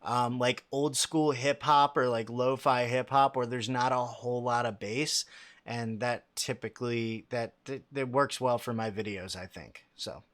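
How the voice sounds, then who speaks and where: A young man with a fairly low, bassy voice, hosting a casual livestream and answering chat questions in a halting, filler-heavy way. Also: steady tone, fairly bright tone. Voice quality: fairly low voice with a lot of bass.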